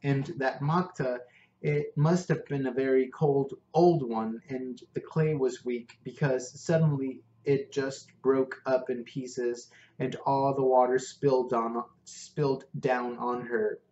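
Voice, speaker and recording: A man voicing a gentle, small young taxi driver with an Indian English accent, talking in an earnest, halting way. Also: mid-range voice, fairly even intonation, slight background noise, lightly acted. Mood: wistful. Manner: earnest, halting, open-hearted. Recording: roomy, slightly dull recording.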